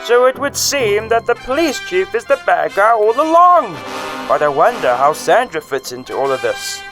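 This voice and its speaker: Guy doing a dramatic old time radio announcer, high pitched and super animated, music behind him. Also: normal speed. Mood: excited. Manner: dramatic, showy. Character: excitable male 1950s radio serial announcer. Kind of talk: 1950s radio narration.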